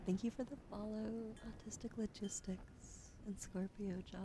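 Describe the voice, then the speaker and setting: A woman's voice: mid-range voice, steady tone, moderate pace, noisy background. A young woman streamer with a wheezy throat, whispering in ASMR style, thanking viewers and swearing casually.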